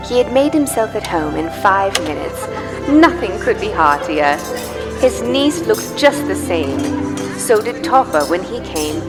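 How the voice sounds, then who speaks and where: A woman playing the narrator of a live radio play, telling a storybook tale with theatrical, expressive emphasis. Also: mid-range voice, unhurried. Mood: joyful. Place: large church, live performance. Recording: echoey church hall, music behind.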